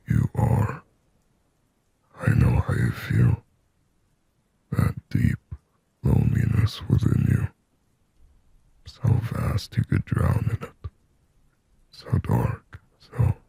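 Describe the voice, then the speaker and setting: A man performs a lonely sleep paralysis demon in an ASMR roleplay. The whisper is dark and very husky, sitting close and dry on the microphone. He speaks slowly and haltingly, with a brooding, possessive longing. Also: very deep voice, no echo, exaggerated voice. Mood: tender, intense. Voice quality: breathy, raspy whisper.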